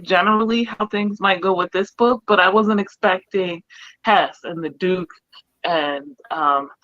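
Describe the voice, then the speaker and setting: Lowish, bright-edged woman on dull call audio. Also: a little slow, no room sound. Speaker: book discussion panelist. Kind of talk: casual book discussion chat. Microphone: online group video call.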